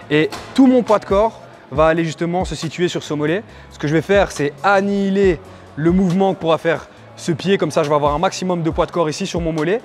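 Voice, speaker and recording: Male fitness coach, fairly high voice, joking and motivational, casual tutorial delivery over a music bed. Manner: playful, teasing, motivational asides. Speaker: fitness coach presenting a workout. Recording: music under the voice. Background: background music.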